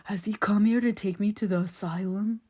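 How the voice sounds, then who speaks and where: A woman voicing a frightened, simple-minded, childlike country man in an audiobook, with halting rustic speech. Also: medium pitch, steady tone, warm tone, close to an everyday voice. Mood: frightened, pleading.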